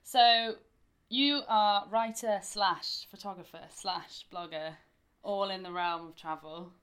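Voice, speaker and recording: A woman's voice: medium pitch, fairly even intonation, slow, fairly bright tone. A British woman hosting a podcast, chatting eagerly and laughing easily with her guest. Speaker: podcast host. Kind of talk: casual interview chat. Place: indoors in a home.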